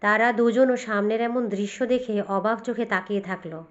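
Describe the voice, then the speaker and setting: A woman narrating a cartoon fairy tale in a steady, expressive storytelling manner. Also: a little high, brisk. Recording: dull, lo-fi recording.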